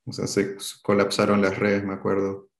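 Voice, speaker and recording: Chilean man in his thirties, interviewee; low, flat, gravelly voice, hesitant delivery, echoey room. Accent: Chilean Spanish. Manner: halting, hesitant, many fillers and restarts. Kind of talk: recalling a past event in an interview.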